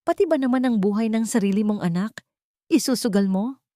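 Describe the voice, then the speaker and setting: A woman's voice: fairly high pitch, animated, brisk, mellow, dry recording, pitched above the performer's own voice, natural voice. A distressed young heroine of audio drama, voiced by a female narrator, protective and emotional. She pleads with feeling in a dry, close recording. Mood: angry distress. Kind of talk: audio drama acting in Tagalog storytelling.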